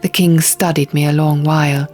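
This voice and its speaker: A woman's voice: low-pitched, flat delivery, normal speed, a little mellow. A woman reading an audiobook as scripted, dramatic narration. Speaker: audiobook reader. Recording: music bed under the reading. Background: music and sound effects.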